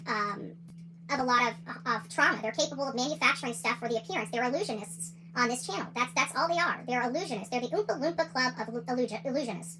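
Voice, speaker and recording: A woman's voice: fairly high pitch, bright, forceful tone, a little hiss or hum, rough recording. A woman hosting a livestream, giving opinionated commentary in a combative, indignant and emphatic way, often repeating phrases for emphasis. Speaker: livestream host.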